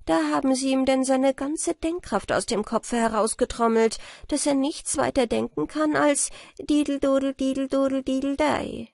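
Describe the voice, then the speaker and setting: A woman's voice: high-pitched, moderate pace, creaky, few pauses, a little mellow, dry recording, studio quality, pitched above the performer's own voice. A woman voicing an outraged common man in a fairy tale, earnestly complaining to his king. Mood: agitated.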